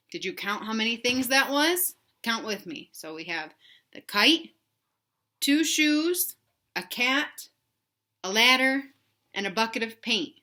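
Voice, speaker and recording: A woman reading a children's storybook aloud in an animated, emphatic way, pausing to put questions to young listeners. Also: a little high. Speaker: read-aloud host for children.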